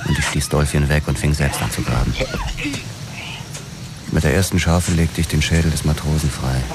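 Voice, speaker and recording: An actor playing a grim police detective who narrates his own story in measured radio drama style. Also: rumbling low, steady tone, very noisy. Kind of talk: scripted first-person narration in radio drama.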